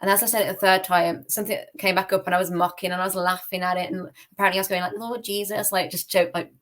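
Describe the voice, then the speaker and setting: A woman's voice: medium pitch, flat delivery, fast talker, a bit bright. A woman from the UK with a British accent, telling a personal story casually as a podcast guest. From United Kingdom. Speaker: podcast guest giving testimony.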